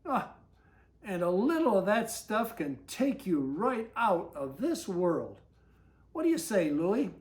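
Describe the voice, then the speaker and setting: A woman voicing a gruff but encouraging stepfather from a children's storybook, coaxing and questioning in a hearty way. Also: mid-range voice, very animated, slow, darkish tone. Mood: indignant, encouraging. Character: gruff, encouraging stepfather who runs a junkyard.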